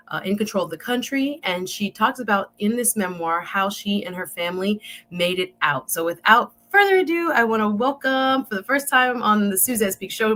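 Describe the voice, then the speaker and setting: A woman's voice: mid-range voice, fairly bright tone. A woman hosting an interview show, chatty and enthusiastic, talking straight to her viewers. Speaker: show host.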